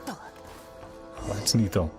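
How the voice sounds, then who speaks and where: A woman doing casual let's-play commentary, playfully reacting in short murmurs and reading game text aloud. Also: very slow. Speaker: gaming streamer. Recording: game music under the voice. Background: video game music.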